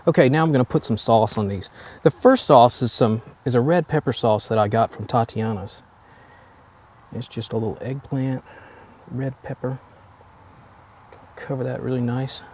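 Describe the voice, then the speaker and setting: Cooking host, man in his 40s, easygoing step-by-step delivery, mid-pitched, faint hiss behind him. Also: normal speed, long pauses. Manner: relaxed step-by-step instruction. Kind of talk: casual cooking demonstration. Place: home kitchen, indoors.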